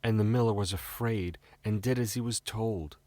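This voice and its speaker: A man's voice: lowish voice, normal speed, dark tone, no echo. A man with a British accent reading a scripted fairy tale as a storyteller.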